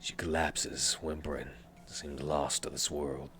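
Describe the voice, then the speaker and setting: A man reading game text aloud for a let's play in a dramatic, lively way. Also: a bass voice, a little slow, husky.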